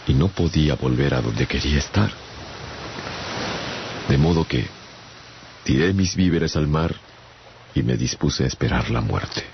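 A weary castaway who has turned away from humankind. His voice is extremely deep and creaky, grave and brooding, with halting phrases. It is a radio drama in slightly dull sound, with music clearly behind him. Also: animated, slight background noise, exaggerated voice. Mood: sorrowful, grave. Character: weary haunted castaway traveller, estranged from humankind. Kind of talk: Spanish-language radio drama, first-person narration and dialogue.

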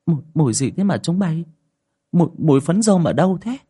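A man's voice: on the high side, sing-song, mellow, husky, voice pushed up. A man voicing a suspicious old grandmother who questions her family. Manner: nagging, quick questioning.

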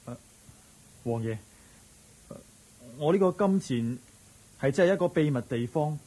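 A humble, husky Cantonese young suitor. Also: male voice, on the high side, expressive, unhurried, warm tone, poor audio. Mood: earnest. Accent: Hong Kong Cantonese. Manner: hesitant, deferential, earnest. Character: humble, penniless, lovestruck young man. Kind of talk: Cantonese radio drama acting.

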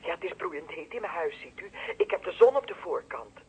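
A woman's voice: lowish voice, big pitch swings, fairly quick, slightly rough, full character voice. An actress playing a watchful, deferential female neighbour in a radio play, hesitant yet insistent, with a Netherlandic Dutch accent, heard through a telephone filter. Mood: polite, explaining. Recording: muffled, band-limited telephone line.

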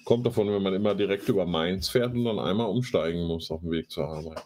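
A man's voice: low-pitched, darkish tone, slightly rough. A man, sarcastic and slangy, hosting a casual commentary show. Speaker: host of a live online talk show. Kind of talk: casual commentary with mocking read-aloud.

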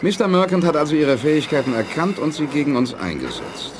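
An actor playing a self-assured, probing leader of a space-age base in a 1980s German radio play, authoritative and deliberate. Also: male voice, fairly high pitch, huge pitch swings, background noise. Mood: confident.

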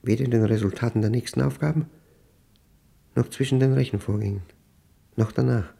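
A brooding man of numbers confiding his diary in a radio drama. His voice is mellow and dark, sitting at an average male pitch. He speaks in short, measured, deliberate phrases with a grave, inward temper. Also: gravelly, breathy edge. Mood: obsessive, controlled. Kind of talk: dramatic monologue in a German radio play.

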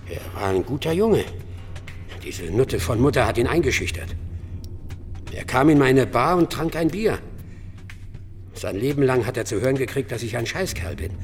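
A middle-aged father and bar owner in a crime radio play. He is defensive, coarse and bitter, dodging and justifying himself. His mid-pitched voice rings with long reverb, with music in the production. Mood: guarded, bitter. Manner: evasive, self-justifying, coarse. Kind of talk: German radio drama acting.

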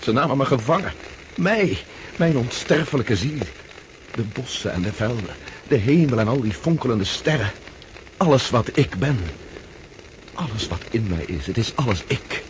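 A man's voice: mid-range voice, animated, moderate pace, long pauses, natural voice. An actor playing a captive man marvelling at his immortal soul in a radio drama, musing with emphatic wonder. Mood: amused wonder. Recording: noisy background ambience.

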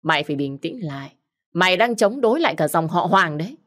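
A woman's voice: on the low side, expressive, fast, a bit bright. A woman voicing a young man who scolds his cousin with contempt. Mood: scolding.

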